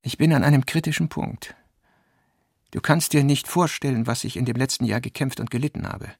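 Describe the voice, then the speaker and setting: A man's voice: medium pitch, brisk, slightly rough, no echo, studio quality. A man reading the part of a self-important, striving man in his early thirties, earnest and self-dramatising, in a radio play. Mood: earnest, anguished.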